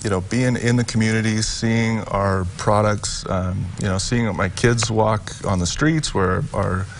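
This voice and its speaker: A man's voice: on the low side, steady tone, measured pace, a little hiss or hum. A man in his thirties, a company president, talking as a guest in a TV studio interview with many fillers and restarts. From Utah. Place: TV studio set, seated on a sofa. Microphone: lapel mic.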